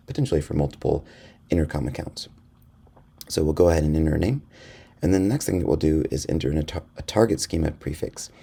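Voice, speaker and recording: A man narrating an instructional software screencast in a measured, step-by-step explanatory way. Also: very deep voice, vocal fry, breathy edge. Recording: voice-over with faint background noise.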